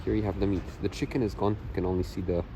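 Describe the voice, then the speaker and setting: A man's voice: deep voice, little pitch movement, rapid-fire. A young man from Poland with a Polish accent, speaking English as a second language, rambling through a casual walking-tour vlog on a phone outdoors. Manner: rambling, self-correcting. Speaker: travel vlogger. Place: outdoor town square. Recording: outdoor street noise.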